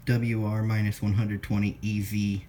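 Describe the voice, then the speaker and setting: A man presenting a casual step-by-step product demonstration in a halting, plain-spoken way. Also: lowish voice, level tone. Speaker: presenter of a how-to video. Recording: clear background noise with clicks.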